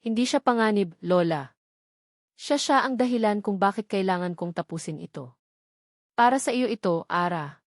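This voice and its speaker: A woman's voice: mid-range voice, animated, normal speed, pauses often, no echo, clean studio sound, natural voice. A Filipino woman voicing an earnest, determined man in love in a romance story. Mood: pleading resolve. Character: brooding, determined young male billionaire in love.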